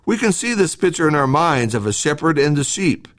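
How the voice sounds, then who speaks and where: A man reads a scripted devotional talk as a church podcast host, in a measured, instructive way with short emphatic phrases. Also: on the high side, sing-song.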